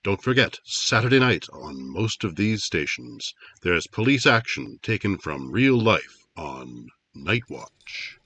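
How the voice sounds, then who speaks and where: A man acting as a vintage network radio announcer from the 1950s. His voice is fairly low with lively swings, and the delivery is brisk and dramatic. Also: exaggerated voice. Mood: emphatic.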